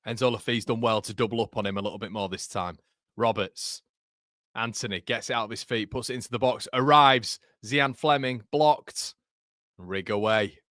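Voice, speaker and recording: Man, Yorkshire accent, lowish voice, dry close mic, live football watchalong, chatty running commentary. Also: moderate pace, sharp tone, no room sound. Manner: chatty, reads live chat, laughs at own jokes.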